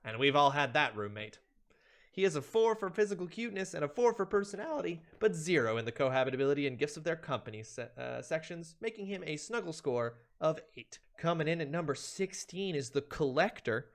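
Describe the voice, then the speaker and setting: Man, higher-pitched voice, very lively intonation, streamer, theatrical emphasis, mock-serious list narration. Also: fairly quick. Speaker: streamer, video host. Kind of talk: dramatic reading of a humorous ranking list.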